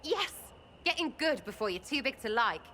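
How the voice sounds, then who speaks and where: Sera, a crude cheeky archer. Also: female voice, high voice, very animated, moderate pace, background noise. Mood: mocking. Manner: crude, mocking, rambling banter. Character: cheeky lowborn woman archer, irreverent.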